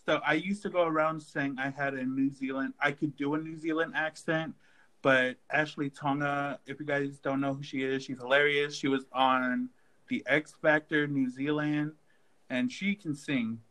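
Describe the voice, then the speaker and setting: A man chatting casually with friends, animated and joking, with lots of "like" fillers. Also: fairly high pitch, normal speed, low-quality recording. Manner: animated, joking, uses "like" often. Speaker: group chat member. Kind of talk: casual group chat.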